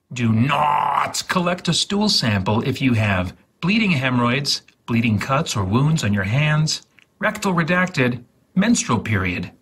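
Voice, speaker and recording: A man reading an instruction guide aloud in a mock-serious, theatrical, deliberate way, with a husky voice. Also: mid-range voice, fairly bright tone. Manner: theatrical, deliberate, repeats words for emphasis.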